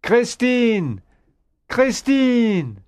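A man's voice: mid-range voice, expressive, measured pace, no room sound. Guy doing anxious earnest young hero for an audiobook, mid voice, dry recording. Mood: desperate. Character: earnest, anxious young man, urgent rescuer. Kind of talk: audiobook character dialogue.

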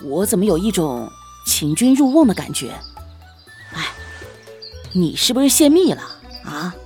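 A woman playing a mild young husband and father in a Chinese period audio drama, polite and measured. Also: on the low side, big pitch swings, darkish tone, faint music behind. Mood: uneasy.

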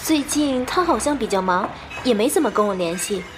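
A woman's voice: fairly high pitch, animated, brisk, background noise, close to an everyday voice. A young woman playing an obliging, considerate close friend in an audio drama. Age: young adult. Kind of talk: Chinese audio drama acting.

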